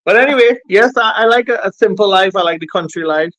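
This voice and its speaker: High-pitched Trinidadian man chatting casually. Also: quick pace, a bit bright, dry recording. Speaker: interview guest, music producer and DJ. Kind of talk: casual chat, reminiscing. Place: remote call, on a phone.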